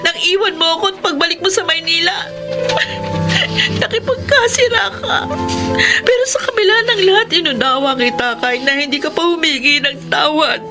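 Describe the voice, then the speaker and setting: A woman's voice: extremely high pitch, normal speed, music in the background. An actress playing a hurt, lovelorn young mother in a Filipino radio drama, with an emotional, pleading delivery.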